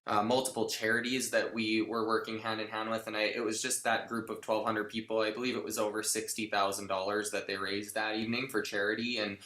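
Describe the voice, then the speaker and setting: Man from canada, musician guest, reflective and measured, bright tone, roomy echo. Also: lowish voice, level tone. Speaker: country music group member, guest. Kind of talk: interview answers.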